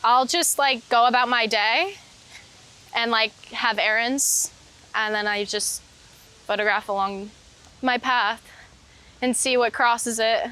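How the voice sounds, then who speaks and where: A woman's voice: a little high, slow, pauses often, bright, forceful tone, smooth, rough recording. A woman interviewed as a photographer, chatting casually and reflectively outdoors, meandering and saying "like" often. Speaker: interviewed street photographer and painter. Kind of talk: casual reflective chat while out walking. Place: outdoors in a city park.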